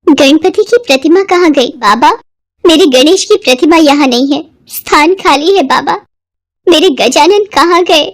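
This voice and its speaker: A woman's voice: sits high, unhurried, voice pushed up. A woman voicing a devout, earnest young girl with a plaintive manner in a cartoon moral story. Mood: tearful, pleading. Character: devout, tearful young girl pleading with her father.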